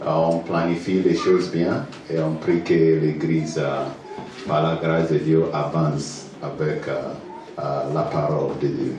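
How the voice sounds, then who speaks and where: A man from Ghana with a Ghanaian accent, a native English speaker, preaching as a pastor in halting, hesitant second-language speech, pausing for an interpreter. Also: rumbling low, flat delivery. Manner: halting, hesitant French with fillers. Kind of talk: sermon with pauses for interpreter. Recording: echoey church hall from far off, faint noise.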